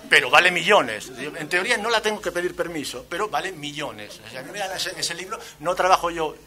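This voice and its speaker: A man's voice: on the high side, expressive, a little fast. A man with an accent from Spain giving a conference lecture as a guest speaker, with wry, ironic asides. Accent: Spanish from Spain. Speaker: guest speaker, antiquarian bookseller. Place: lecture event at a university.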